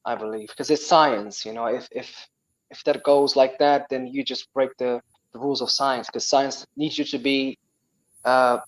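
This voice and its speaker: A young man with a Moroccan accent, a Tamazight speaker, chatting as a guest, animated and full of restarts and repeated words. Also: mid-range voice, normal speed, poor audio. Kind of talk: casual chat.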